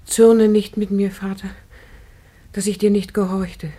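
Woman playing a devoted young daughter in a radio play, dark mellow voice, gentle, distressed, pleading. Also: medium pitch, flat delivery, measured pace, natural voice. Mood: anxious, tender. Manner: tender, pleading, formal diction. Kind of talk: solemn classical radio drama acting.

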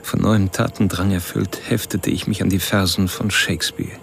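Low, creaky, obsessive male killer narrating. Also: slight background noise. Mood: restless, eager. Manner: deliberate, literary, confessional. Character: obsessive, self-aware male killer narrating his stalking. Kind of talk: first-person crime radio drama narration.

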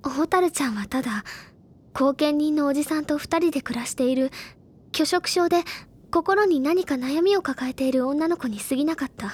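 A woman playing an earnest, imaginative high-school senior girl in an audio drama, speaking deliberately in literary, feminine phrasing. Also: natural voice. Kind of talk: Japanese audio drama acting, dramatic explanatory monologue. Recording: loud music bed behind the voice.

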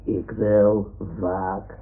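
A man's voice: on the low side, little pitch movement, very slow, full character voice. A man voicing a self-important toy lion who poses as an old seafarer in a radio play, speaking in boastful declarations. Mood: boastful, dreamy. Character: boastful toy lion posing as sea lion and retired sea captain. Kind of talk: 1960s-70s Dutch radio drama acting. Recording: muffled, phone-like old radio recording.

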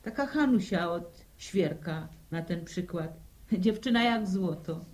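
A woman's voice: lowish voice, expressive, measured pace, a little mellow. An actress playing an anxious older village widow and protective mother in a radio drama, fretful and sighing. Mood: defensive.

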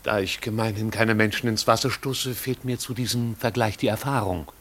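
An actor playing a dry, deferential yet disapproving manservant in a 1950s radio play, speaking formally and with measured irony. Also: male voice, fairly low pitch, steady tone, a bit bright. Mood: drily sceptical. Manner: formal, measured, drily ironic. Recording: noisy 1950s radio drama recording.

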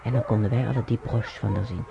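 An actor playing an elderly man who haltingly reminisces in a radio drama, searching his memory and muttering to himself. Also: lowish voice, steady tone, vocal fry, music in the background. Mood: reminiscing. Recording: muffled old radio drama recording.

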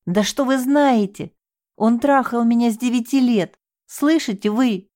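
A woman's voice: normal speed, mellow, no echo, clean studio sound, close to an everyday voice. A woman narrator voicing a distraught young woman who sobs, shrieks and pleads desperately. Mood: furious. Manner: sobbing, shrill, desperate pleading.

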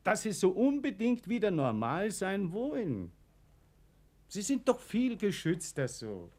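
Radio play: knowing, insistent asylum insider, fairly high voice, very varied melody, arguing emphatically. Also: male voice, wildly swooping pitch, unhurried, darkish tone, heavily acted. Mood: urgent, persuasive. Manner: persuasive, building to emphatic lists. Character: insistent asylum confidant, shrewd and persuasive. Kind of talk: German radio play acting.

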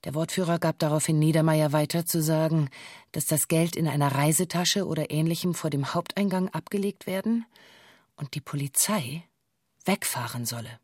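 A woman's voice: low voice, moderate pace, a little mellow, dry recording, clean studio sound. An actress playing a female bank hostage who gives a formal, matter-of-fact witness statement in a documentary radio drama. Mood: sober recounting.

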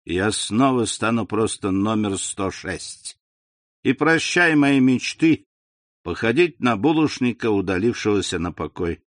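A man's voice: on the low side, expressive, unhurried, dry recording, studio quality. A man voicing a sly aging innkeeper. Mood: mocking. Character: sly aging male innkeeper, scheming and mocking.

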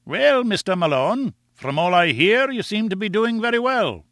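Elderly Scottish newspaper editor, played by a male reader, avuncular and exclamatory, raised pitch, storybook dialogue. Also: expressive, moderate pace, fairly bright tone, no echo, clean studio sound. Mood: kindly, approving. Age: older. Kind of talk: audiobook character dialogue.

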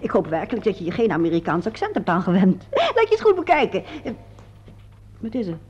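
Husky-voiced sophisticated woman. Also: medium pitch, sing-song, fairly quick, darkish tone. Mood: breezy, affectionate. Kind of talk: Dutch radio play acting. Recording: dull lo-fi radio drama recording.

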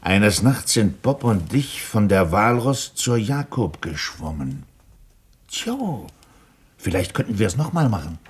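Husky, placid, plain-spoken sailor. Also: male voice, lowish voice, sing-song, exaggerated voice. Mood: placid, thoughtful. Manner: hesitant, simple, drawn-out phrases. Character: strong, slow, placid male sailor, helpful and plain-spoken. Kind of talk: German radio play acting.

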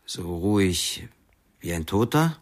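A cool, inscrutable physician in a radio crime play. His voice is fairly low with a husky rasp. He keeps his sentences curt and measured. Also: sing-song, heavily acted. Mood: guarded. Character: cool, laconic, inscrutable male doctor. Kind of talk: radio crime drama acting.

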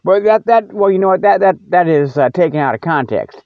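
A man's voice: high-pitched, animated, brisk, no room sound. A man hosting a comic report in a casual, rambling, folksy monologue, often repeating himself. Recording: dull, dry lo-fi audio.

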